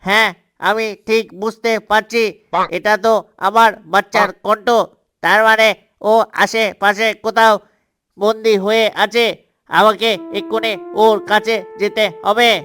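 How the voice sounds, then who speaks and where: A man's voice: high-pitched, little pitch movement, a little slow, bright, forceful tone, clear, resonant tone, no room sound, clean studio sound. A man voicing a worried, protective father duck in a cartoon, speaking earnestly. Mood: urgent. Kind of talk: cartoon dialogue.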